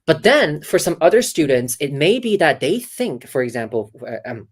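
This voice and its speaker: A woman voice coach talking casually on a video call, animated and demonstrative, with many fillers and restarts. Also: low voice, moderate pace. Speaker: voice coach guest. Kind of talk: casual interview, teaching.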